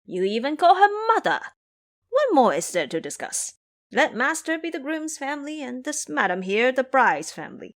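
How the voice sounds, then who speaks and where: A woman acts out a monkey trickster from an audio drama. He is cheeky and bossy, mocking and ordering people about with a bouncy, animated pitch. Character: cheeky, bossy, teasing male monkey disciple.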